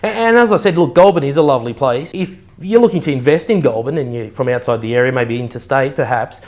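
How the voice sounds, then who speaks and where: A man's voice: medium pitch, animated, fast talker, darkish tone. A man hosting a friendly interview, speaking in a polite, chatty way. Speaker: interviewer and host.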